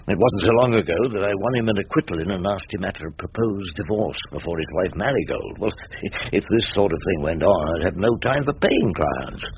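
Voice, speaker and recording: An actor playing a sardonic older English barrister in a radio drama, with a dry, ironic, theatrical way of talking. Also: male voice, deep voice, animated, fairly quick, hardly any pauses, highs cut off, background noise. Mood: wry narration. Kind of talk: radio drama acting and narration.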